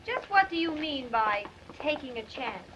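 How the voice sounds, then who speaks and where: A woman's voice: sits high, big pitch swings, moderate pace, fairly bright tone. An actress playing a proud, wary young woman down on her luck, with prickly, clipped replies in 1930s film style. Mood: defensive. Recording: muffled, noisy 1930s film soundtrack.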